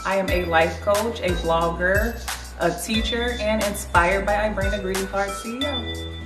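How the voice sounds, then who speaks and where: A woman in her twenties from Illinois, co-hosting a casual chat in a lively, playful, laughing way. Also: mid-range voice, moderate pace, echoey room, distant mic, over music. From Illinois, USA. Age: 20s. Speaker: co-host, question reader.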